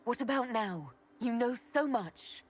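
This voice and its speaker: An actress playing a composed, determined young heroine in a fantasy video game, speaking in an earnest, plain way. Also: female voice, fairly high pitch, lively intonation, unhurried, husky. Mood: pleading. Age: young adult. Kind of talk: dramatic video game acting. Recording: orchestral game music behind the voice.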